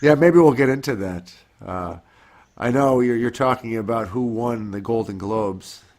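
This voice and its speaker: Podcast host, male, mid pitch, stuffed up from a cold, a bit nasal, friendly and jokey with his guest. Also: a little slow, a little mellow. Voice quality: slightly nasal. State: has a cold. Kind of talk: interviewing a guest.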